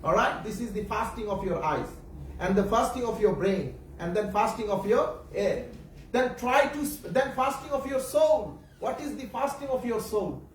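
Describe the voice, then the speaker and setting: A man whose first language is Bengali, preaching a sermon to children in English, halting and repeating himself, often asking the audience questions. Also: high voice, expressive. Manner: halting, repetitive, searching for words. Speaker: Islamic preacher. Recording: echoey room, distant mic, faint background noise.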